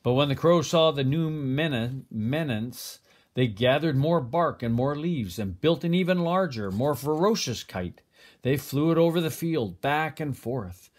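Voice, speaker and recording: An older man reads a children's picture book aloud as a grandfather would, with expressive, deliberate phrasing. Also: fairly high pitch. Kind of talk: storybook read-aloud.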